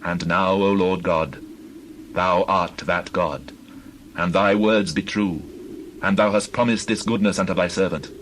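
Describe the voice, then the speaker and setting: A man's voice: low-pitched, steady tone, a little slow, a bit bright, poor audio. A man playing a devout, magnanimous king of ancient Israel in a dramatized scripture reading, speaking in a solemn, reverent, declamatory manner. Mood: reverent, prayerful. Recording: clear background noise with birdsong.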